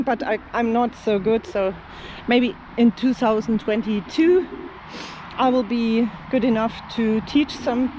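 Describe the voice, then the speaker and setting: Slow, rambling speaker. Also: medium pitch, highs cut off, noisy background. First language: German. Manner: unhurried and rambling, with many fillers and light laughs. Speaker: language teacher and travel vlogger. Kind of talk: casual talk to camera. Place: outdoors in an open field.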